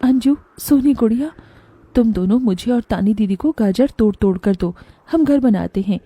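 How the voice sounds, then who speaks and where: A woman's voice: on the high side, moderate pace, mellow, breathy edge, no echo. A woman voicing a poor, tender, careworn village mother in a children's cartoon story, earnest and emotive. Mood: gently instructing.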